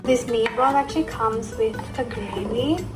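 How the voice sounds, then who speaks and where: A woman from Sri Lanka talks in a casual vlog style. Her voice sits a bit high, and she explains as she goes in an informal way. Prominent music plays behind her speech. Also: a little slow. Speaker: food vlogger. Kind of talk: casual vlog chat and cooking narration.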